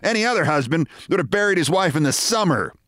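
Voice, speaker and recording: A man's voice: fairly high pitch, expressive, fast talker, fairly bright tone, no room sound, clean studio sound. A man voicing a nagging, bossy middle-aged wife with a scolding, exasperated and sarcastic manner.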